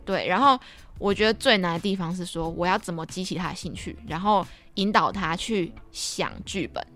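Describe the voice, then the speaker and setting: A woman's voice: on the low side, normal speed, faint music behind. A young woman with a Taiwanese Mandarin accent co-hosts a casual podcast chat, telling stories and acting out what others said. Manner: animated, acts out children's remarks. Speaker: podcast co-host and camp counselor.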